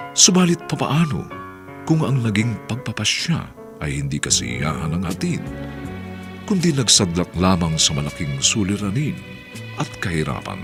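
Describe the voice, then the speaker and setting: Deep, hugely expressive male narrator. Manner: theatrical, grave. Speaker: radio drama narrator. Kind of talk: dramatic scripted narration. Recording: music bed behind voice.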